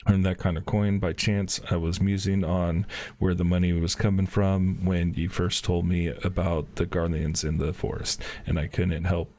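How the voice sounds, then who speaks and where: A man's voice: low-pitched, darkish tone. A man reading aloud the lines of a worried tavern keeper in a game, in his own everyday voice. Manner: reads game dialogue aloud in his plain voice.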